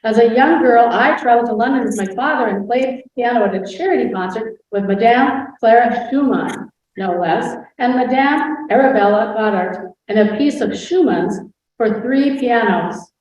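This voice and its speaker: A woman's voice: a little high, moderate pace, hardly any pauses, darkish tone, slight background noise, close to an everyday voice. A woman playing a proud, witty 19th-century noblewoman. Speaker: historical impersonator. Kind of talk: scripted first-person portrayal. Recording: roomy hall sound, far from the mic, dull.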